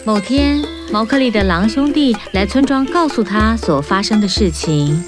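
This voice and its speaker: Female narrator, children's fairy tale, scripted storytelling, medium pitch, prominent music behind. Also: lively intonation, moderate pace.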